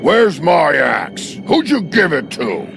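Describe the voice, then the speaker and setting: An actor playing a belligerent, foul-mouthed working man, aggressive and demanding, with a gravelly voice, in a story game. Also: on the high side, wildly swooping pitch, slow pace, exaggerated voice. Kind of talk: heated game voice acting. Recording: music under the voice.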